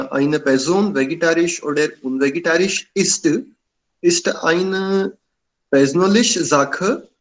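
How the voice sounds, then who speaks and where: A man from India talks slowly in a non-native tongue. He stops often and fills the gaps with pauses. His voice sits somewhat high for a man. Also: low-quality recording. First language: Indian language. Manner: halting, many fillers. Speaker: club member sharing views. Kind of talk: hesitant casual talk in learner German.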